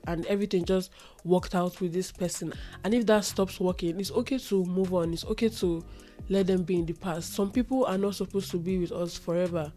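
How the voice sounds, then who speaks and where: Woman host for a solo self-help podcast. Warm, mellow tone, slightly nasal from a runny nose. Earnest and reflective, and she repeats lines for weight. Faint music underneath. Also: lowish voice. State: recovering from a runny nose, itchy throat. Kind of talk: solo motivational podcast talk.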